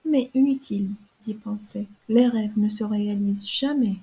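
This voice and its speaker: A woman voicing a sweet, hopeful young girl in a storybook cartoon, with a soft, eager manner. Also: mid-range voice, moderate pace, husky, poor audio. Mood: wistful.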